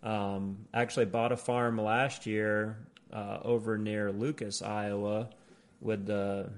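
A soft-spoken man with a lowish voice speaks in a flat, even way. He chats casually as a guest, a bit distant from the mic. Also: slow. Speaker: podcast guest. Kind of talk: casual chat.

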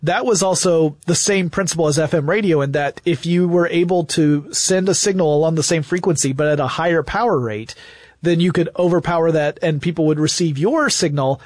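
Man, slightly high voice, measured and precise, casual podcast explaining, dry studio. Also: darkish tone, no echo. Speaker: podcast co-host.

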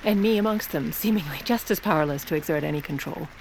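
A woman playing a poised, commanding First Lady in an audio drama, sharp-tongued, motherly and persuasive. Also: low voice, fast talker, slight background noise, low-quality recording. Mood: wry, dramatic. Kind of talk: audio drama acting with first-person narration.

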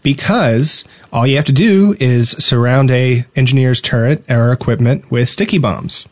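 Mid-pitched man chatting on a gaming podcast. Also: normal speed, dry recording. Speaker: podcast co-host. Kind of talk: casual gaming podcast chat.

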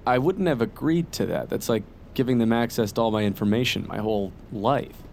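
An actor playing a nervous, eager male client in an immersive audio drama, halting and casual, easily flustered. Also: medium pitch, vocal fry, a little mellow, slight background noise, natural voice. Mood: uneasy.

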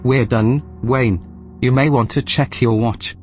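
A man playing a calm, polite station computer in a sci-fi audio drama, speaking in a measured, formal, matter-of-fact way. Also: medium pitch, faint music behind, natural voice. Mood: calm, pleased.

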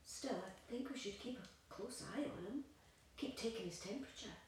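A woman's voice: a little high, expressive, moderate pace, close to an everyday voice. A woman playing an anxious, controlling young mother and wife in an audio play, insistent and fussing. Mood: worried. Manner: insistent, nagging, doting on her baby. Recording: very echoey room, distant, faint hiss.

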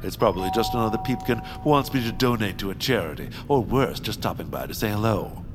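An actor playing a pompous, callous rich baron in a family audio drama, with a theatrical, self-satisfied delivery. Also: male voice, on the low side, expressive, fast, over music. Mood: smug, dismissive. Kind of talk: family audio fiction character acting.

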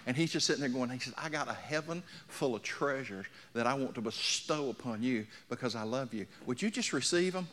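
Forceful older male preacher, bright voice. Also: medium pitch, lively intonation, fairly quick, few pauses. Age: 60s. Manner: folksy, anecdotal, addresses listeners with repeated questions. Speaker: pastor preaching. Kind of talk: sermon to a congregation.

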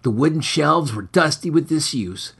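Expressive husky man narrating a story. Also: on the high side, normal speed. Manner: mock-serious, formal, theatrical delivery. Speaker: author reading his own short story, podcast host. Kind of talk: scripted dramatic narration of a comic horror story.

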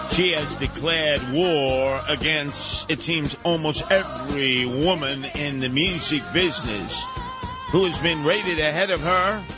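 A man hosting a radio talk show, emphatic and theatrical, repeating words for effect in an animated, opinionated style. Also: on the high side.

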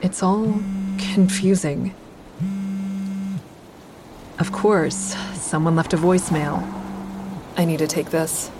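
Low-voiced wary woman narrating. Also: slow pace, long pauses, heavy background noise. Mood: uneasy, confiding. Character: wary, anxious woman narrating her own danger. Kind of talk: first-person audio drama narration.

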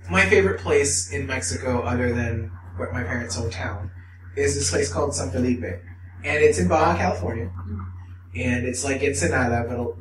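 A man's voice: medium pitch, fairly bright tone, slight background noise. A man co-hosting a podcast in casual chat, blunt and rambling, swearing freely. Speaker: podcast co-host. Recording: echoey room, mic at a distance.

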